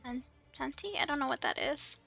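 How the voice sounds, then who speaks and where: High, bright, giggly woman streamer. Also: a little fast, creaky. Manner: giggly, excitable, exclamatory. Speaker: streamer, host of the show. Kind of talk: casual livestream game chat. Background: background music.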